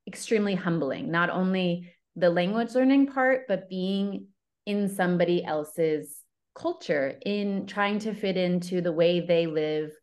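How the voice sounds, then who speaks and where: A woman who works as a love coach, speaking as an interview guest in an earnest, enthusiastic, rambling way. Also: medium pitch. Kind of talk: interview guest, casual conversation.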